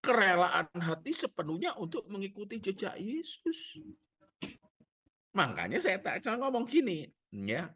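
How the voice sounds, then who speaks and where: Animated husky pastor with Javanese accent. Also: male voice, high voice, measured pace. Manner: animated, rhetorical questions and jokes to the congregation. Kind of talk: sermon.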